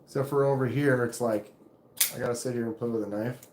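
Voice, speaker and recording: A man with a deep voice hosting a casual live stream, rambling loosely and reading viewer comments aloud. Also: fast talker, echoey room, background noise. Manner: relaxed, rambling, reads chat aloud. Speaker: knife channel live streamer.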